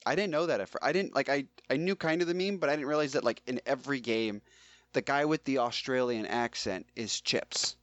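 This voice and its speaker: A man co-hosting a podcast, chatting casually in a halting, conversational way. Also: medium pitch, a bit bright, dry recording, lo-fi sound. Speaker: podcast co-host. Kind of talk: casual chat.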